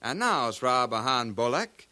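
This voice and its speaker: Bright, animated male broadcast host. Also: medium pitch, a little slow, exaggerated voice. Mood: courteous, brisk. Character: polished, courteous male studio broadcast host. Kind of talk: 1950s radio drama acting.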